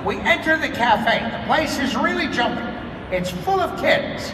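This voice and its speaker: A man's voice: extremely high pitch, animated, unhurried. A man narrating a live comedic script reading in a convention hall. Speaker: narrator of a table read. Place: large indoor convention hall. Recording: echoey noisy convention hall, far from mic.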